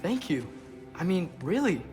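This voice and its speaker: Earnest, slow-spoken teenage demigod. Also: male voice, high-pitched, big pitch swings. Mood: surprised, grateful. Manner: hesitant, earnest. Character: earnest, curious, guarded young demigod boy in his teens. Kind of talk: cinematic video game acting. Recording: loud music behind the voice. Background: music.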